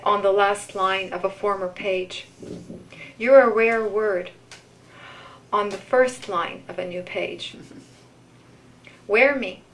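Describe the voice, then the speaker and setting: A woman reads poetry to a live gathering at a slow, measured pace. Her voice sits at an average pitch, and she is deliberate with each phrase, adding informal commentary between pieces. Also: a bit bright, a little hiss or hum, rough recording. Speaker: poetry reader.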